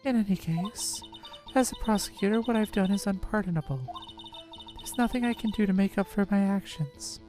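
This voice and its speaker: A stiff, resigned female prosecutor from a video game, voiced by a woman. Her tone is mellow and dark, her phrasing formal and controlled, with music underneath. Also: medium pitch, normal speed, natural voice. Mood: insistent, grave. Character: stiff, resigned adult female chief prosecutor hiding anguish. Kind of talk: amateur video game voice acting.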